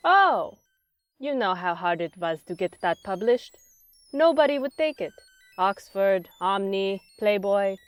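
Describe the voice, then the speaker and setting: A woman's voice: mid-range voice, animated, a little slow, dry recording, soft background music, close to an everyday voice. A woman playing a brash, shady, wisecracking mad scientist in a comedic audio drama, with breezy slangy delivery. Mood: unrepentant.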